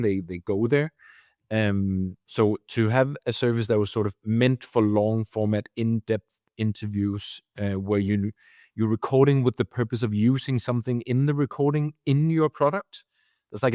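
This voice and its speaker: A man's voice: on the low side, darkish tone, no room sound, studio quality. A young man with a Danish accent, speaking as a guest in a conversational interview, with frequent hesitations and restarts. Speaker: guest, company founder.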